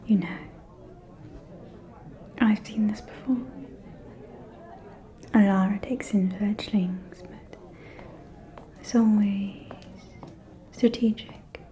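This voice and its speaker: Woman playing a slow, whispering, scheming vampire lady. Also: medium pitch, steady tone, a faint crowd. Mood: conspiratorial. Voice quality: soft, breathy whisper. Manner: slow, silky, insinuating, condescending. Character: scheming, falsely caring aristocratic vampire woman. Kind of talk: whispered ASMR roleplay.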